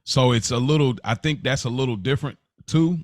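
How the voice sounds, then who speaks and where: An American man in his thirties co-hosting a podcast in casual, slangy talk, telling things in an animated way and repeating words for emphasis. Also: medium pitch, a little fast, no echo, clean studio sound. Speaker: podcast co-host. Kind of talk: casual unscripted podcast chat.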